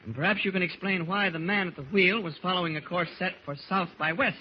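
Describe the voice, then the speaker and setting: Man playing inquisitive radio serial hero, higher male voice, level-headed, polite yet blunt, muffled audio. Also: fast, natural voice. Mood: blunt, insistent. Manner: polite but blunt, probing. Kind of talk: 1940s radio acting. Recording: muffled, noisy old radio recording.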